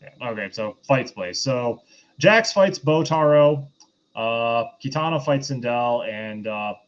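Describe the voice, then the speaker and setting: A man's voice: mid-range voice, animated, slow, dull audio. A man hosting a casual film review, recapping in a loose, rambling conversational way.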